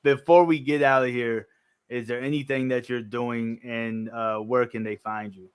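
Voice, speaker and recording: A man in his thirties hosting a casual chat, relaxed and agreeable, thinking aloud as he talks. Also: medium pitch, normal speed, clean tone. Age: 30s. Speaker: podcast host.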